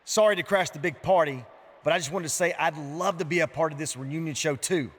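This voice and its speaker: A touchy veteran male wrestler in a video game cutscene. The voice is slightly high and sarcastic, and it rings with long arena reverb. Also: a little fast, fairly bright tone.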